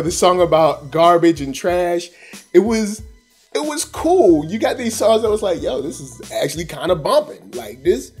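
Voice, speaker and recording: American male host, fairly high voice, conversational slangy commentary, light music behind. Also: darkish tone. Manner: conversational, slangy, addressing viewers directly. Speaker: host of an animation review show. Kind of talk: casual review commentary to camera.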